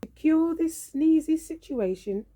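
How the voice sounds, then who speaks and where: A woman reading a storybook aloud for young children in an expressive, theatrical, drawn-out way. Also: high voice. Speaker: storybook reader.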